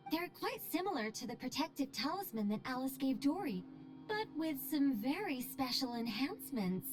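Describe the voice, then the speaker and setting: An actress playing a poised, playful, self-assured angelic mage in a video game, airily polite and gently boastful. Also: female voice, high-pitched, very animated, moderate pace. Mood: playfully proud. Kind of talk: video game character dialogue. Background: background music.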